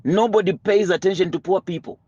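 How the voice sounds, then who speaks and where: A man's voice: high voice, steady tone, fairly quick, darkish tone, no echo, lo-fi sound. A man giving a motivational lesson on money, earnest and building with preacher-like emphasis. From Zimbabwe. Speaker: money mentor.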